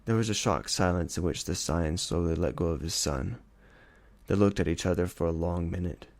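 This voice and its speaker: Low-voiced man narrating a story. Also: normal speed, a little mellow, no echo. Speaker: audiobook reader. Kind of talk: dramatic scripted story narration.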